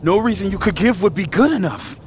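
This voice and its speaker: A man's voice: high-pitched, lively intonation, brisk, a little hiss or hum. A man playing a grieving, skeptical young man in a video game drama, with a guarded, wry delivery that can turn emphatic. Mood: bitter, impatient.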